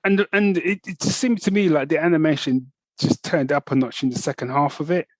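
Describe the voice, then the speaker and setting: A man co-hosting a podcast in a relaxed, animated chat. He laughs often and slips into voices when he retells scenes. His voice sits a little high and sounds dry and close to the mic. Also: fairly quick, dry recording. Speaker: podcast co-host. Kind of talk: casual chat.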